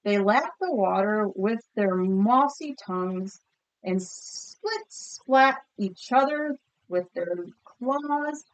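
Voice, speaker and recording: A woman teacher reading a picture book aloud expressively and pausing to ask listeners questions. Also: medium pitch, expressive, low-quality recording. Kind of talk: storybook read-aloud with comprehension questions.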